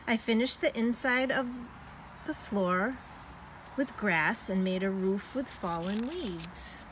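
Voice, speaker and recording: A woman's voice: mid-range voice, slow pace, a little hiss or hum. A woman reading a children's story aloud expressively, drawing out words for young listeners.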